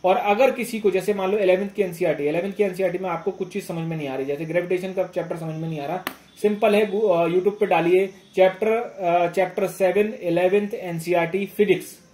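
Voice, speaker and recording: A man's voice: sits high, fairly even intonation, a little fast, darkish tone. A man giving informal exam guidance as a teacher, instructive, repeating phrases and often checking that listeners follow. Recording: echoey room.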